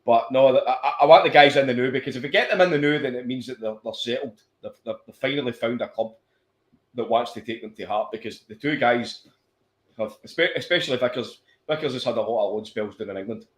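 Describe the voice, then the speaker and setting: A Scottish man co-hosting a podcast, talking fast and passionately about football, swearing and stumbling over restarts. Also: medium pitch. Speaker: podcast co-host. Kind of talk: casual football chat. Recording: echoey room.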